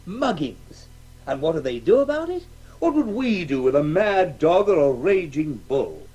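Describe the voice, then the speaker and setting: A man's voice: on the high side, big pitch swings, normal speed, warm tone, husky. A man with a British accent playing a hearty, blustering, conventional chap in a radio play, often repeating himself. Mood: indignant. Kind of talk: 1980s radio drama acting.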